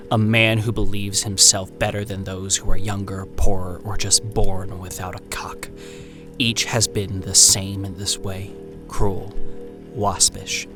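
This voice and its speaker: Casting: male reader as grim, bitter horror narrator, low and very slow, measured literary delivery over music. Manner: measured, poetic, ominous pauses. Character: grim, bitter narrator reading an eerie first-person horror tale. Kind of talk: dramatic literary reading. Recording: music behind the voice.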